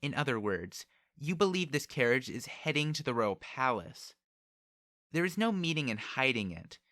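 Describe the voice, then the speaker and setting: A man's voice: mid-range voice, sing-song, normal speed, pushed and bright, no room sound, clean studio sound, voice pushed up. A man voicing a proud, cold young noblewoman who speaks formally and with condescension in an audiobook. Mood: imperious, probing.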